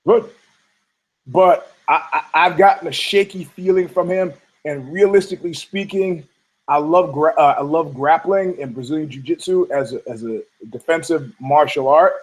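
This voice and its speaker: A hoarse man hosting a podcast, rambling through a casual, profane monologue full of restarts and asides. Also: a little high, normal speed, poor audio. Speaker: podcast host.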